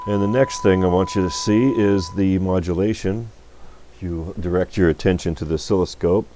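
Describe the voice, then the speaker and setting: A man giving a casual, unscripted technical demonstration at a workbench, talking in a folksy, halting, rambling way. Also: sits low, dark tone, slight background noise. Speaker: electronics repair technician and video host. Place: radio repair workbench.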